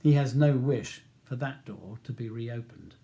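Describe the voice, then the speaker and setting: A man's voice: mid-range voice, unhurried, dark tone. A man with a British accent reading scripted audiobook narration as the author of the novel.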